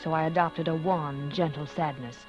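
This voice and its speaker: An actress playing a scheming wife who feigns wan, gentle grief in a 1940s radio suspense drama, with dramatic, faltering phrasing. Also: female voice, low-pitched, steady tone, moderate pace, highs cut off, lightly acted. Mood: guarded, calculating. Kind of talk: 1940s radio suspense acting and first-person narration. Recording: vintage 1940s radio broadcast, music underneath.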